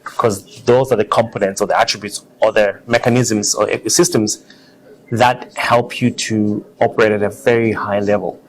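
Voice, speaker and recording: A man with a fairly low voice talks as a guest in an interview. He tells personal stories and reflects earnestly, in a conversational, unscripted way. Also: fairly even intonation, moderate pace, low-quality recording. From Zimbabwe. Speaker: interview guest, car designer.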